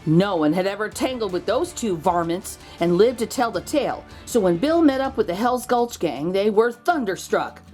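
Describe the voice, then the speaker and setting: A woman's voice: mid-range voice, lively intonation, moderate pace, hardly any pauses, darkish tone, soft background music. A woman reading a children's picture book aloud expressively, drawing out key words for emphasis. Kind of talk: expressive storybook read-aloud.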